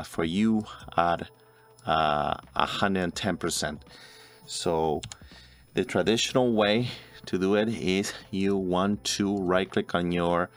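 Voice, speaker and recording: A man in his forties narrating a video editing tutorial step by step as host. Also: sits low. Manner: step-by-step, instructional. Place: indoor room at a computer. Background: music.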